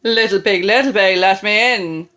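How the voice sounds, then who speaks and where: A woman voicing a greedy, boastful male big bad wolf from a children's storybook, menacing and gloating with drawn-out threats. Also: mid-range voice, a bit bright, natural voice.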